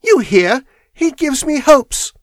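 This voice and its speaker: A woman's voice: high voice, very animated, slow pace, no echo, clean studio sound, voice pushed up, full character voice. A man voicing an earnest young woman who pleads insistently and with fierce loyalty. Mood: urgent.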